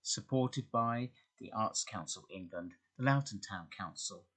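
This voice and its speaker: Closing announcer, male, on the low side, scripted credits read. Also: unhurried, a bit bright.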